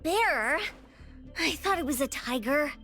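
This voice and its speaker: A woman's voice: high-pitched, animated, unhurried, bright, forceful tone, full character voice. A woman voicing an inquisitive, youthful girlish companion in a video game. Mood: puzzled. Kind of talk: scripted video game voice acting. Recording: music behind the voice.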